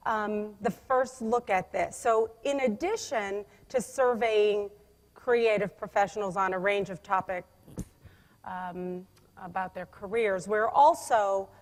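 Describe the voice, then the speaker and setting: Woman conference speaker, loud and emphatic, restarts often, mid pitch, echoing hall. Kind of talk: conference keynote presentation. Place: conference room. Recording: long hall reverb.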